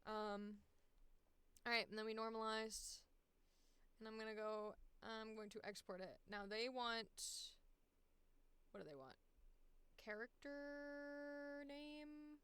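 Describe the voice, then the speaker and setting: A woman's voice: a little high, steady tone, slow pace, long pauses, a bit bright, clean tone, no echo. A woman talking casually and thinking aloud through a screen-share walkthrough, blunt, self-deprecating and prone to swearing. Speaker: voice actor giving a walkthrough.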